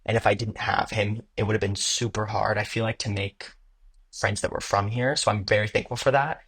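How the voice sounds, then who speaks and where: A man's voice: fairly low pitch, fairly even intonation, fairly quick, a bit bright, breathy edge. A man from the United States, a guest in a casual interview, speaking loosely with many fillers.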